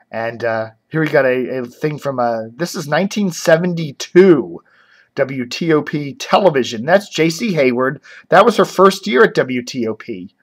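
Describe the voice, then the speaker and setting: A man hosting a casual web show on local radio and TV, chatting off the cuff, often breaking off to think aloud. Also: mid-range voice.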